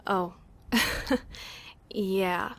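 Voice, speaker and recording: An actress plays a young female medic in a survivor-camp audio drama. She has a medium-pitched voice and talks casually, a little hesitant but considerate and reassuring. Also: level tone, extremely slow, vocal fry, no room sound. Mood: casual, apologetic. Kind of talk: amateur audio drama acting.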